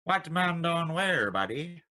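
Man acting a skeptical radio-drama cop, sharp forceful tone, wildly animated pitch, slow, blunt, dry sound. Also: no echo, exaggerated voice. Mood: suspicious. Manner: blunt, mocking. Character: gruff skeptical male street policeman.

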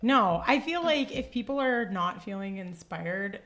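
A woman with a raspy voice, a hairdresser talking as a podcast guest in a blunt, jokey, casual way. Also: medium pitch, expressive, a bit bright. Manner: blunt, jokey, quick to laugh. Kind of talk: casual chat.

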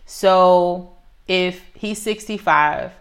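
A woman's voice: fairly low pitch, drawn-out words. A woman in her thirties talking earnestly and reflectively in a casual conversation, sometimes acting out the voices she quotes. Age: 30s.

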